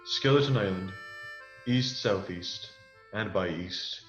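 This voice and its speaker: A man's voice: lowish voice, takes it slowly. Man playing a wily pirate leader in echoey, muffled audio. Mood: calculating. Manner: rustic old-sailor dialect. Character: wily male pirate leader, persuasive and scheming. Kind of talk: radio play acting. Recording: muffled, echoey audio under loud music.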